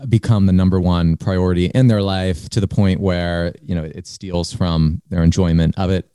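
A male podcast host reads a measured introduction with occasional small restarts before moving into conversation. His voice is fairly low and mellow in tone, recorded close and dry with no room sound. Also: no room sound. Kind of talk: scripted intro then interview.

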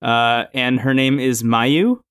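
A man from New Jersey hosting a podcast, recapping a show in relaxed casual chat. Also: mid-range voice, slow pace, smooth. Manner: relaxed, leads the recap with asides. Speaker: podcast host.

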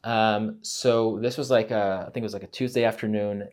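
A man's voice: fairly low pitch, steady tone, fairly quick, darkish tone. A middle-aged man, a native Hebrew speaker from Israel, talking casually as an interview guest with many fillers and restarts.

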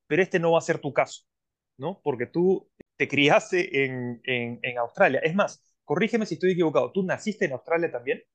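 A man hosting an interview program, speaking in a measured way with drawn-out phrases and frequent fillers. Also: on the high side, animated. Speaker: host.

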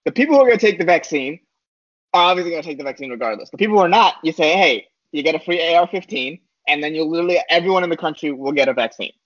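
A man's voice: on the high side, animated, rapid-fire, fairly bright tone, no room sound, dull audio. A man who is a comedian, trading sarcastic jokes in a casual podcast chat and laughing as he talks. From United States. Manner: joking, laughs mid-sentence, sarcastic asides.

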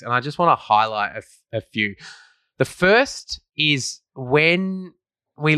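A man's voice: mid-range voice, sharp tone, clean tone, no room sound. A man co-hosting a casual podcast, easygoing and joking, with mock-grand announcements of show segments. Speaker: podcast co-host.

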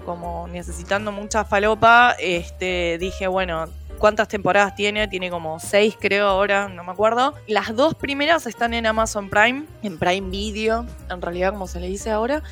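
A woman's voice: medium pitch, fairly bright tone. Chatty Rioplatense woman, slangy. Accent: Rioplatense Spanish. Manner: chatty, slangy, frequent fillers. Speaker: podcast co-host. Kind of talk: casual chat.

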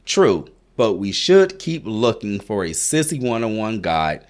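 A man's voice: on the low side, very animated, a little slow, darkish tone. Man reading as an eager little big sister.

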